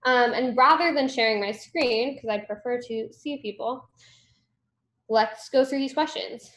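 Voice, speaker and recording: A woman's voice: a little high, unhurried, fairly bright tone. A young woman leading a workshop discussion over a video call from home, upbeat and moving things along. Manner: upbeat, moves things along with "cool" and "great". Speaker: workshop instructor. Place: room at home. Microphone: video call on a laptop. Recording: echoey room.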